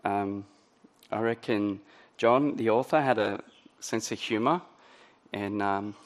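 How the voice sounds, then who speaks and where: Croaky pastor, conversational. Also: male voice, lowish voice, slow pace. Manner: conversational, many ums and restarts. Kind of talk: sermon.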